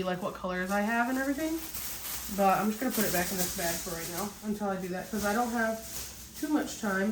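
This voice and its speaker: A woman's voice: medium pitch, fairly bright tone. A young woman vlogging about her crochet business, chatty and upbeat, still a bit congested from a cold. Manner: chatty, rambling, upbeat, frequent "like" and "um". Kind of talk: casual chat to camera. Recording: echoey room.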